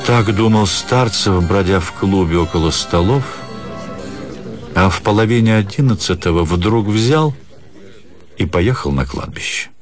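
A man with a deep, low voice narrates literary prose for a radio audio play. The reading is scripted storytelling, with music clearly playing behind him. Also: unhurried. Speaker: narrator, actor reading a classic short story.

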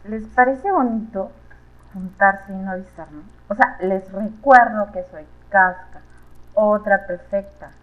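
A woman's voice: mid-range voice, slow, narrow, tinny audio, close to an everyday voice. A woman voicing a miffed female prefect who scolds the others and vows revenge. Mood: reproachful. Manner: reproachful, scolding.

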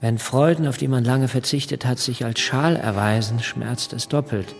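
An actor playing an inhibited, detached revolutionary leader in a radio play, speaking quietly and deliberately, as if thinking to himself. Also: male voice, mid-range voice, creaky, lightly acted. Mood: detached, bitter. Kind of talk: intimate radio drama acting with inner monologue.

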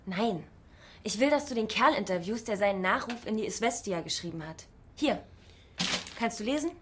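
A woman's voice: medium pitch, lively intonation, moderate pace, background noise, natural voice. An actress playing a plucky, stubborn young woman in her early twenties in a radio drama, cheeky and insistent. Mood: grave, insistent.

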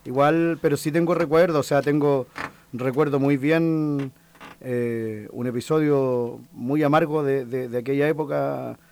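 A man's voice: medium pitch, fairly even intonation, measured pace, creaky, a little mellow, slight background noise. Hesitant Chilean man in his thirties. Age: 30s. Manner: hesitant, many restarts and fillers. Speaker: guest folk singer. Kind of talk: casual interview.

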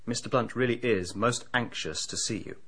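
A man's voice: medium pitch, moderate pace, bright, forceful tone, husky. An actor playing a polite, efficient male private secretary in a radio drama, formal and obliging in manner. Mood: polite, businesslike. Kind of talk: BBC radio drama acting.

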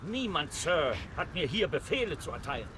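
An actor playing a stern, duty-bound army captain commanding a fort in an audio drama, firm and formal. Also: male voice, on the high side, very animated, normal speed, pushed and bright, slight background noise. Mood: stern, refusing.

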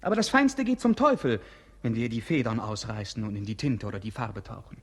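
An actor in a 1950s radio play voicing a bored, melancholy young prince who is dreamy and sardonic, by turns languid and mocking, then rapturously lyrical. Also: male voice, on the low side, lively intonation, a little fast. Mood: brooding.

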